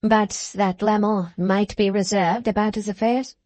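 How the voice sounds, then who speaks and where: A woman's voice: mid-range voice, steady tone, unhurried, darkish tone, dry recording, natural voice. A woman reading aloud and voicing a sulky, grudging young moneyman with blunt replies.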